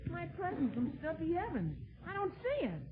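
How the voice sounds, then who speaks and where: A man's voice: very high voice, wildly swooping pitch, normal speed, a crowd in the background, heavily acted. A male performer playing a cheeky, grumbling young American son in 1940s radio comedy style. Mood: sulky. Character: cheeky, grudge-holding young son of the family. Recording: tinny 1940s radio broadcast.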